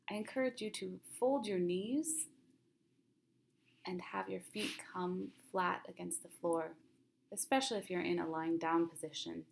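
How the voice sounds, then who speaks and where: A woman leading a guided mindfulness meditation as a teacher, speaking gently and calmly, with unhurried phrasing and long pauses. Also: medium pitch, gravelly, a little hiss or hum.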